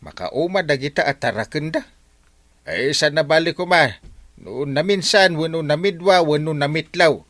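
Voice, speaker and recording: A man's voice: on the high side, expressive, normal speed, a bit bright, dry recording. A man with an Ilocano accent acting a lazy, exasperated husband who grumbles his way through a radio comedy drama. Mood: irritated, grumbling. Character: lazy, grumbling husband who resents feeding neighbours' animals.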